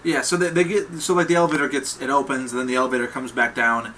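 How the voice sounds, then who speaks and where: A man's voice: medium pitch, steady tone, fast, bright, forceful tone, slight background noise. A young man narrating as game master in a casual, rambling way.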